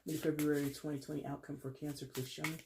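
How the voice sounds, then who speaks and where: Stuffy-nosed tarot reader, chatty and rambling, mid-pitched, clearing a dry throat. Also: flat delivery, quick pace, background noise. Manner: chatty, rambling, self-correcting with small laughs. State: congested with a head cold. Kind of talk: casual tarot reading to camera.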